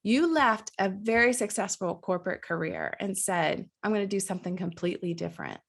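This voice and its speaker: A woman hosting a podcast, her voice sitting in an ordinary middle pitch. Allergies leave her a little nasal and raspy. She talks in a bubbly, effusive way. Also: very animated, brisk. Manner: enthusiastic, effusive. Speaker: podcast host. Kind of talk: conversational interview.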